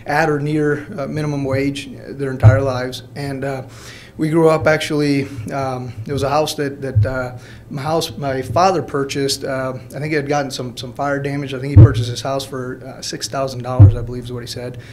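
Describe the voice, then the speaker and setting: A man in his thirties speaks informally into a podium mic in a meeting room. His pitch is average and stays quite level. He talks plainly and haltingly as he shares a personal account, with noticeable room noise behind him. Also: flat delivery, darkish tone. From born in Mexico, grew up in Peoria, Illinois. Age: 30s. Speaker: college alumnus guest speaker. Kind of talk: personal story told at a podium. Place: indoor college meeting room. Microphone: podium gooseneck microphone.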